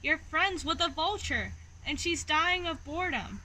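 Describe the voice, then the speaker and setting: A woman's voice: sits high, expressive, a little slow, bright, forceful tone, slight background noise, pitched above the performer's own voice, heavily acted, rough recording. A woman voicing a blunt, exasperated female vulture in a children's storybook. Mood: bored, exasperated. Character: bored, indignant female vulture, blunt best friend.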